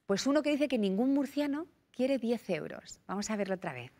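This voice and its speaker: A woman in her thirties hosting a TV talk show from a bright studio, chatty and animated, talking over her guests. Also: on the high side, a little fast.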